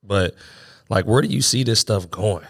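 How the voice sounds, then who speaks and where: A man's voice: on the low side, creaky, husky. A man co-hosting a casual podcast, rambling loosely as he works his way toward a question. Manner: rambling, loose and conversational, halting restarts. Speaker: podcast co-host.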